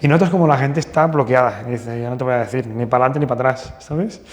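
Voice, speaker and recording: Man, mid-pitched voice, halting storyteller, reverberant hall. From northern Portugal. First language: Portuguese. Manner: hesitant, many restarts and fillers, animated anecdotes. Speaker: plastic surgeon guest. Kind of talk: casual interview storytelling.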